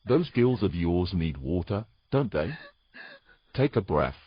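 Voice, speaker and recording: An actor playing a tender, protective man with a husky voice and a gentle, coaxing manner in an intimate fantasy romance. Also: low-pitched, big pitch swings, slow, dry recording, lo-fi sound, full character voice. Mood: soothing. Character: tender, protective human man soothing a mermaid.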